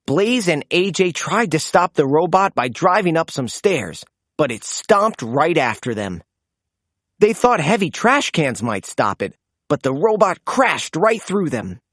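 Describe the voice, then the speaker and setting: Female storybook reader for kids: slow, very lively intonation, animated read-aloud narration. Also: low-pitched, dry recording, studio quality.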